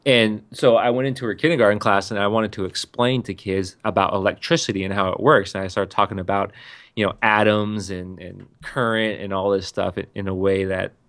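A man with a fairly low voice talks in a loose, casual chat. He rambles and restarts his sentences often as he tells a story. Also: steady tone, fairly quick, a bit bright.

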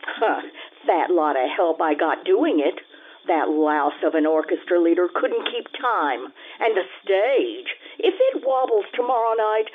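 A woman's voice: fairly low pitch, breathy edge, exaggerated voice. A woman playing a brash, sassy young dancer with slangy delivery in old-time radio drama, through a vintage radio filter. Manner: brassy, slangy. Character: brash young Roman dancing girl, sassy and vain. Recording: tinny vintage radio sound.

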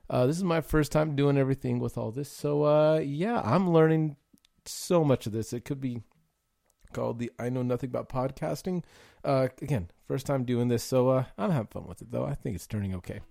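Male podcast host, gravelly middle voice, easygoing and chatty. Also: mid-range voice, fairly quick, mellow. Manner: chatty, loose, lots of fillers. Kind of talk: casual podcast chat.

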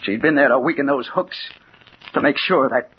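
A man's voice: medium pitch, moderate pace, lightly acted. An actor playing an agitated, obsessed man in a 1940s radio mystery, telling his story with urgent intensity. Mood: grim, haunted. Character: obsessed, agitated man named Storm hunting a mysterious woman. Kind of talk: 1940s radio mystery acting, first-person narration and dialogue. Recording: dull, noisy 1940s radio recording.

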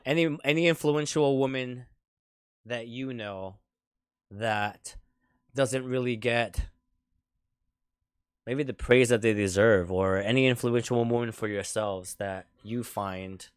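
A man's voice: mid-range voice, steady tone, slow pace, pauses often, smooth, dry recording, clean studio sound. A man hosting a casual podcast. He chats loosely, laughs often and restarts his sentences. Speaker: podcast host. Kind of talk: casual chat.

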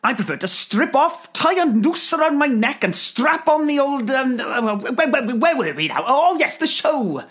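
A woman's voice: high-pitched, big pitch swings, moderate pace, few pauses, a bit bright, slightly rough. A woman playing a dithering, posh TV producer in a comedy sketch, full of hesitations.

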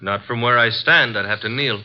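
An actor playing a brisk, wisecracking male assistant detective in a 1950s radio drama, matter-of-fact and wry, on a muffled old broadcast recording. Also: mid-range voice, close to an everyday voice.

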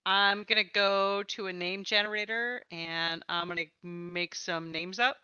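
A woman's voice: medium pitch, steady tone, measured pace, bright, forceful tone, clean tone, no echo, lo-fi sound. A woman hosting a casual, chatty podcast about tabletop gaming, with a playful way of talking. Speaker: podcast host and interviewer.

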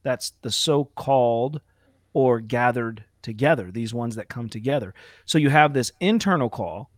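Slightly hoarse man reading a confession. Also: mid-range voice, lively intonation, no room sound, clean studio sound. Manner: reads aloud, pauses to clear throat. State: slightly hoarse from outdoor preaching. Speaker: co-host discussing a church confession. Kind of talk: Bible study discussion show.

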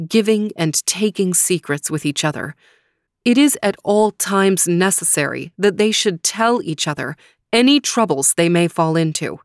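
Calm, measured wise man, as a woman narrator puts him on. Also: lowish voice, no room sound, studio quality. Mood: counseling. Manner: measured, counseling.

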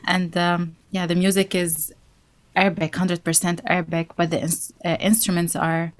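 A young woman from Syria, a band guest in an interview, who answers in a halting way with many fillers and restarts. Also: lowish voice, little pitch movement, no echo.

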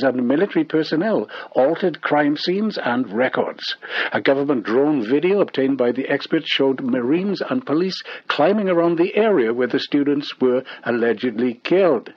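A man's voice: mid-range voice, husky. A man reading a scripted news bulletin as a radio newsreader, over dull shortwave radio.

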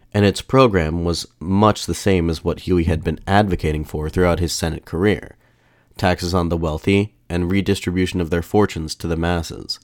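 A man hosting a podcast, narrating history in a measured, formal way, his voice slightly off after a mild illness. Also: low voice, a little mellow, dry recording, studio quality. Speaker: podcast host.

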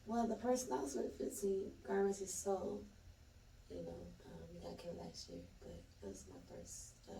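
A woman's voice: medium pitch, huge pitch swings, normal speed, dark tone, slight background noise. A woman from Florida, a comedian chatting loosely as an interview guest and telling stories in slang. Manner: loose, laughing storyteller, Southern slang. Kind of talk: casual interview chat. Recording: roomy, distant from the mic.

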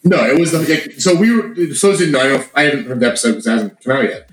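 Hoarse man with a cold, echoey. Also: mid-range voice, lively intonation. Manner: rambling, sweary, quick. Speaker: podcast co-host. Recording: echoey room with noise.

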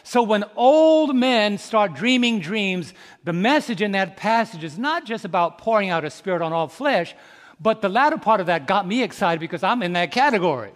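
A man in his fifties preaching a sermon, quizzing the congregation with questions and swinging from soft, slow reflection to loud, rising exhortation. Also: sits high, lively intonation. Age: 50s. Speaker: pastor. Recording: long reverb in a large hall.

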